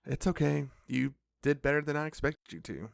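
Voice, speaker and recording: Man playing a creaky-voiced, patient cop. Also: a little high, dry recording, studio quality. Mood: calm, helpful. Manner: measured, reassuring, matter-of-fact. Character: calm, patient police officer, protective family member. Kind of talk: audio drama acting.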